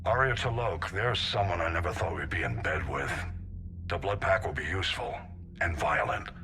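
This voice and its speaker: An actor playing a gruff, seasoned older navy admiral in a video game, blunt and authoritative. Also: male voice, low voice, normal speed, pushed and bright, a little hiss or hum. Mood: gruff, businesslike. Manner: blunt, authoritative military briefing delivery. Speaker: military admiral. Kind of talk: scripted video game acting.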